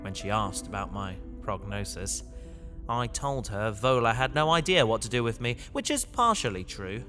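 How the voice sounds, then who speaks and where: A man playing an anguished young fugitive recording a journal in a sci-fi audio drama, brooding and halting. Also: on the low side, animated, close to an everyday voice. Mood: brooding, weary. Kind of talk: science fiction audio drama monologue. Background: music.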